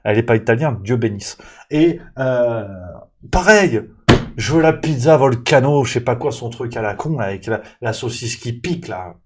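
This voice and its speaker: A man on a show launches into a comic rant, voice rising into exasperated outbursts, then dropping to grumbling asides. His pitch sits in an ordinary middle range. He talks casually and fast, with lots of fillers. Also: husky. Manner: animated, exasperated, mock-angry ranting; parodies waiters with exaggerated Italian accent.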